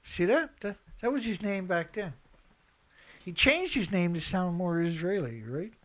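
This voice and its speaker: A man's voice: sits high, animated, unhurried, background noise. A man giving unscripted, opinionated news commentary as an online host, reading out headlines and reacting in a blunt, emphatic, colloquial way with a lot of repetition.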